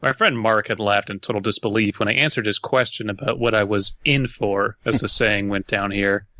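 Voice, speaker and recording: A man reads a story aloud as a podcast co-host in a bright, forceful voice of average pitch. The recording is close and dry, and his delivery slips easily between narration and casual comment. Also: moderate pace, no echo, highs cut off.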